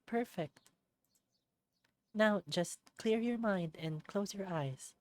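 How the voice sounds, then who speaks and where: A woman voicing a kind, anxious, devout village woman in a visual novel, explaining things gently and hesitantly. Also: mid-range voice, no echo, voice pushed up, lightly acted. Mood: gentle, encouraging.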